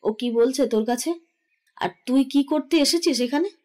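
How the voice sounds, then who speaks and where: A middle-aged mother played by a woman in an audio romance drama. Her voice sits a little high as she chides firmly and teases. Underneath the scolding she is indulgent. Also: moderate pace, a little mellow, natural voice. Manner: chiding, teasing, firm. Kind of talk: Bengali audio drama acting.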